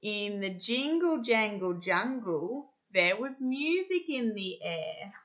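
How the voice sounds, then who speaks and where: An Australian woman in her thirties reading a picture book aloud to children in an expressive, sing-song way. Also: medium pitch. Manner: deliberate, sing-song storytelling delivery. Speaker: primary school teacher reading storytime. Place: home kitchen, indoors.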